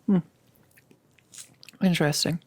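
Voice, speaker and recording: A woman playing a nervous, chatty girlfriend in an intimate ASMR roleplay, rambling and confiding with hesitant pauses. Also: no echo, lightly acted. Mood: teasing, nervous. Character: nervous, chatty girlfriend about to become a vampire. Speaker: audio roleplay performer.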